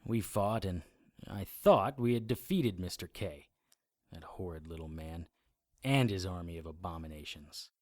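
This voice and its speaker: A man acting a brisk, commanding occult adventurer in an audio drama, instructive and matter-of-fact. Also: low voice, darkish tone. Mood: grave, explaining. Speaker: lead actor and narrator. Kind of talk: audio drama acting and first-person narration.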